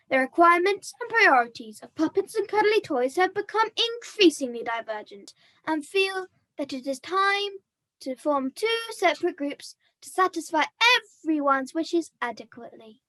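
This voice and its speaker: A woman's voice: high-pitched, animated, moderate pace, a bit bright. A woman playing a haughty, bossy princess puppet in a scripted comedy, speaking in a pompous, formal way.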